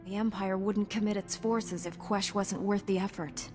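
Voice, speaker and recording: A woman's voice: medium pitch, steady tone, moderate pace, lightly acted. Woman acting a composed Jedi knight, reverberant hall. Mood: earnest. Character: composed, dutiful young female Jedi knight, firm and earnest. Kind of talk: scripted video game cutscene acting. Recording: long hall reverb, faint music.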